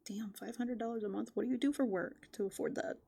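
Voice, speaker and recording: A woman playing a playful, easygoing raccoon in an ASMR roleplay, soft-spoken and casual, often dropping into whispers. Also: a little high, fairly even intonation, fast talker, creaky, husky. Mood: relaxed, amused. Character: playful, easygoing female raccoon living in a dumpster. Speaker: ASMR host.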